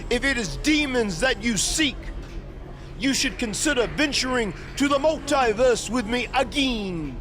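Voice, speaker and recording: Eccentric otherworldly mystic, very high voice, slow stately theatrical delivery, game cutscene. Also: male voice, expressive, music in the background. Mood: mystical, grandiose. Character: eccentric mystic man, grandiose and otherworldly, seeking the cosmos. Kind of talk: video game cutscene acting.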